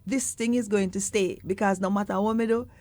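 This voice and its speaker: A Jamaican woman with a mid-range voice talks easily in an interview, drifting in and out of patois. She tells her stories with lively storytelling energy and stretches her words as she goes. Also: mid-range voice, brisk, no room sound. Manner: animated storytelling, mixing patois with standard English, stretching words. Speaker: singer and poet, interview guest. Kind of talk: relaxed conversational interview.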